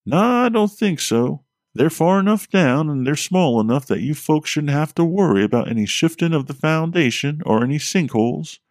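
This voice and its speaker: Male narrator voicing a high, folksy Vermont plumber, blunt and joking. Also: lively intonation, normal speed, few pauses, a little mellow, dry recording, studio quality. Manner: folksy, drawling, a bit crude.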